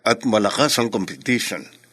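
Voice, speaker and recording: A Filipino man playing a businesslike corporate boss in radio drama, formal and courteous with measured authority. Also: medium pitch, fairly bright tone, lightly acted. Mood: firm. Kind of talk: Tagalog radio drama acting, mixing in English.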